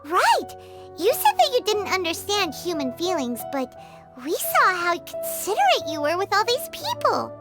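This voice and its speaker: A tiny fairy companion with an extremely high, squeaky, childlike voice. Her pitch bounces wildly as she chatters with emphatic affection. It is video game dialogue with music clearly behind it. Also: moderate pace, exaggerated voice. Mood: supportive. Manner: excitable, emphatic.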